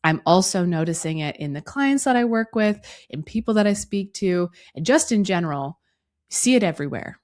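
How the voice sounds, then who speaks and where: A woman in her thirties hosting a solo podcast, her voice hoarse and raspy from a cough, talking earnestly and reflectively to the listener. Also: fairly low pitch, expressive, normal speed, a little mellow. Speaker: podcast host.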